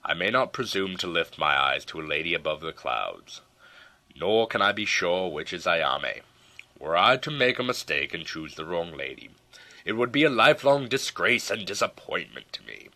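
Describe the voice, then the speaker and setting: A man narrating plays a humble samurai knight and poet, deep-voiced and solemn. He speaks in formal, self-effacing phrases, as in an audiobook tale. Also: very animated, normal speed, bright, forceful tone, exaggerated voice. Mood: humble, perplexed.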